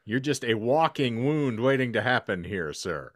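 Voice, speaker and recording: A man's voice: mid-range voice, animated, moderate pace, dry recording, clean studio sound. A man hosting a casual comedic podcast, bantering in a jokingly grandiose, florid way. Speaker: podcast host. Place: home room, online group call. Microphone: close podcast microphone.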